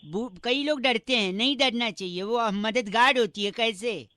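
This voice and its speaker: A man's voice: very high-pitched, unhurried, pushed and bright, no room sound, exaggerated voice. A man voicing a cheeky, pestering young prankster, teasing people on a radio prank call with mock politeness. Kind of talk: comic prank call banter with riddles.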